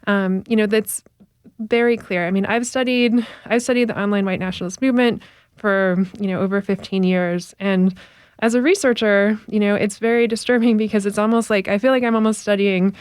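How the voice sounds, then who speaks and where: Woman professor, analytical, many fillers, smooth clear tone, dry close sound; radio guest. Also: mid-range voice, dry recording. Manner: animated, analytical, frequent fillers, laughing asides. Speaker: university professor and researcher. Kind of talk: radio interview.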